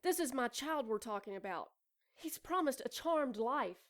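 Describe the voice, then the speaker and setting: Higher-pitched, sly femme fatale. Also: female voice, animated, moderate pace. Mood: defensive. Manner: coy, mock-proper, defensive. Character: self-absorbed expectant mother, sly and defensive femme fatale. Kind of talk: noir audio drama acting.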